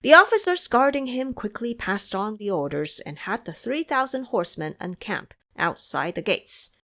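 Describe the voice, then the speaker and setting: A woman narrates a scripted audio drama. Her pitch is mid-range and moves freely, giving the storytelling an animated shape. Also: normal speed.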